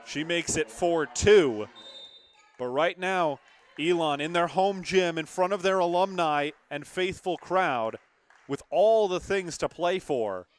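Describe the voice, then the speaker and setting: A man's voice: a little high, takes it slowly. A young man doing live volleyball play-by-play, emphatic and conversational with his broadcast partner. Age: young adult. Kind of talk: live sports commentary.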